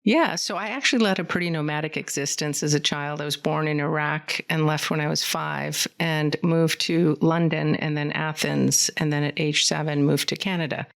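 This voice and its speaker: Woman with vocal fry, studio interview guest, fluent and earnest. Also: sits low, steady tone, moderate pace, few pauses. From Central Valley, California. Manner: fluent, earnest, rambling storyteller. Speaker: podcast guest, community foundation leader. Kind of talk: conversational interview. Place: podcast studio.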